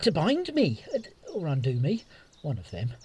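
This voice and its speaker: An actor playing a witty, teasing soldier lord in love, in a Shakespeare radio play, with playful, expressive delivery. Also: male voice, a little high, moderate pace, breathy edge, exaggerated voice. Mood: awkward, earnest.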